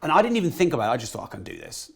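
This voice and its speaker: A man in his thirties with a croaky voice, a podcast guest telling animated stories and swearing casually. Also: on the high side, very fast. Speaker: guest entrepreneur. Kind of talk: podcast interview storytelling.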